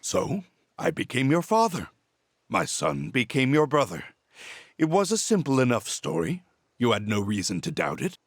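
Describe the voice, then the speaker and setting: An actor playing an embittered, menacing middle-aged villain in a video game, with a theatrical, taunting delivery. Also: male voice, medium pitch, wildly swooping pitch, moderate pace, no echo, studio quality. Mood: smug, confessing. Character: embittered, menacing villain, a false father consumed by obsession. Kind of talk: dramatic video game voice acting.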